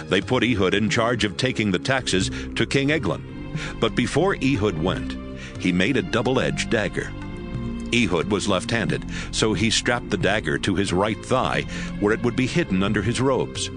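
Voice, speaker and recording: A man narrating scripture in a steady, measured storytelling voice. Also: on the low side. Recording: narration over background music.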